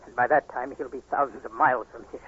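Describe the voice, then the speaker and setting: Casting: anguished young patient, intense radio-play delivery, medium pitch, muffled vintage sound. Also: male voice, steady tone, fast talker, breathy edge. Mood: accusing. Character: anguished young male eye patient, suspicious and accusing. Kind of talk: 1940s radio acting, first-person narration and dialogue. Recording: noisy, muffled 1940s radio recording.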